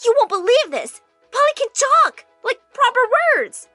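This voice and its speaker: A woman voicing an indignant, hurt young girl in an animated story, pleading and melodramatic. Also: very high voice, a little slow, no room sound, soft background music, voice pushed up, exaggerated voice. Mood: shocked.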